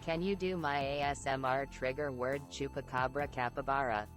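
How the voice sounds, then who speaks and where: A synthetic woman's voice reading viewers' chat messages aloud on a stream, clipped and even. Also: a bass voice, normal speed, faint music behind. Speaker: text-to-speech chat reader.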